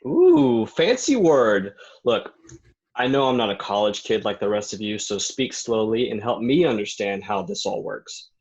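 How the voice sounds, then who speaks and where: Sarcastic low-voiced actor as a bitter guy. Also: very animated, measured pace, a little mellow. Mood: mocking. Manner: snide, mocking. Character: sarcastic, bitter young man needling his friends. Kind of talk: staged play reading. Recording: dull lo-fi recording.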